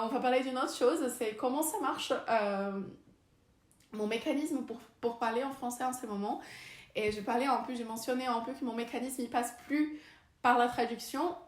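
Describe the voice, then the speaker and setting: A young woman with a Brazilian Portuguese accent, a native Portuguese speaker, talking casually to camera in French, hesitant, with many fillers and restarts. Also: a little high. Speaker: vlogger. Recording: echoey room.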